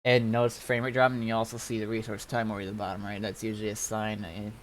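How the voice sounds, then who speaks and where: Guy streaming a game, casual rambling talk, lots of pauses, bit of noise behind him. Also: fairly low pitch, flat delivery. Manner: rambling, hesitant, repeats himself. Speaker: streamer and server admin. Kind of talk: casual gameplay commentary and chat.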